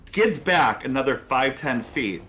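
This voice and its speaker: A man's voice: mid-range voice, expressive, a little slow, sharp tone. A man, casually narrating and refereeing a tabletop fantasy game in a conversational, encouraging way. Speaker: game master and show host.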